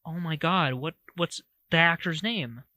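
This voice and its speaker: Bright-voiced young man, podcast co-host. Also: on the high side, unhurried, dry recording, clean studio sound. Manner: animated, stammers on restarts. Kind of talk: casual chat.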